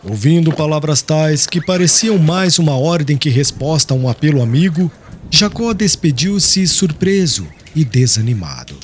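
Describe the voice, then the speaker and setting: A man reads scripted literary narration with solemn drama. His fairly high voice moves in lively, wide contours, over a faint bed of noise and crickets. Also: normal speed. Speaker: audiobook narrator.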